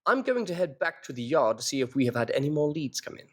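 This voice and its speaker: Animated Victorian police inspector. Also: male voice, a little high, fast. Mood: brisk, resigned. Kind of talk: audio drama acting.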